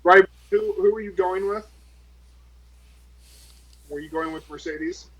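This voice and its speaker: A man's voice: high-pitched, takes it slowly, lo-fi sound, a little hiss or hum. A man playing a tabletop role-playing game, talking casually with the other players.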